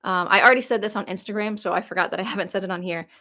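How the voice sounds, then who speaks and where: A woman's voice: medium pitch, racing, pushed and bright. Chatty young woman rambling on a live stream. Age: 20s. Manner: chatty, rambling, lots of "like" fillers and restarts; laughs easily while reading comments aloud. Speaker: YouTuber answering viewer questions. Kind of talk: casual live-stream Q&A chat.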